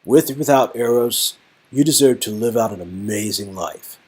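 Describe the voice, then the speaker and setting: Romantic game lead read by a man: young guy, low slightly rough voice, earnest, teasing, emphatic delivery. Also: normal speed, a little mellow. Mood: encouraging. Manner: encouraging, playful, emphatic. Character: earnest, teasing young man comforting his love interest.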